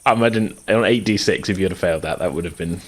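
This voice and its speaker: A man's voice: low voice, fast. A man running a tabletop game as game master, narrating casually in hesitant, conversational phrasing.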